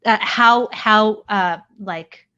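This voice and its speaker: An American woman co-hosting a podcast in friendly casual chat, laughing often and filling pauses with "like" and "um". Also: mid-range voice, pushed and bright. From United States. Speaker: podcast co-host. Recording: dull dry lo-fi mic.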